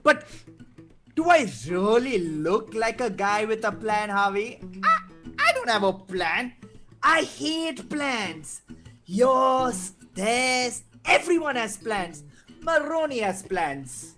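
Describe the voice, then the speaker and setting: Someone playing a slow, taunting, gleeful clown villain. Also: mid-range voice, big pitch swings, pushed and bright, heavily acted. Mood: coaxing. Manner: taunting, drawn-out phrasing, giggly. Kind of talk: comic table read of a film script. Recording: live guitar music behind online reading. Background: plucked guitar music.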